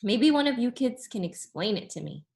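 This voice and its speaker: A woman's voice: a little high, sing-song, normal speed, creaky, darkish tone, voice pushed up. A woman voicing a dim, puzzled but cheerful second-grade schoolteacher in a storybook, with exaggerated, questioning confusion. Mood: puzzled, breezy.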